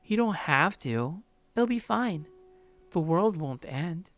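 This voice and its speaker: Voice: medium pitch, animated, takes it slowly, fairly bright tone, voice pushed up. A performer voicing a clingy, possessive young woman from a visual novel in a sweet, coaxing manner.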